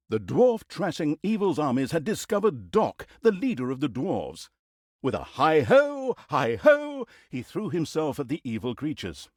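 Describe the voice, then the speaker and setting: A theatrical male storybook narrator for a fantasy video game, sardonic and mock-grand. His voice sits a little high for a man and swoops dramatically across a very wide pitch range. The sound is close and dry. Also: darkish tone, no room sound, full character voice. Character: wry, theatrical male storybook narrator mocking heroes and villains.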